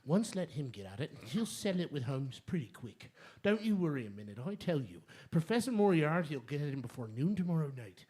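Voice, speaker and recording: A man playing a menacing, blustering villain in a radio drama, threatening and emphatic, with a rough voice. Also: on the high side, very animated, fairly quick, hardly any pauses, dark tone. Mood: grim, confident.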